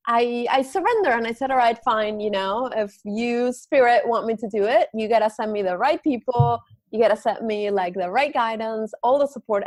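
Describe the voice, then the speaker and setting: A woman from Argentina talks as a podcast guest about her yoga and meditation work. She speaks in a lively, spiritual way, with frequent restarts. Her voice is recorded dry and close, with no room sound. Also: a little high, no room sound. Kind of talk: casual interview talk.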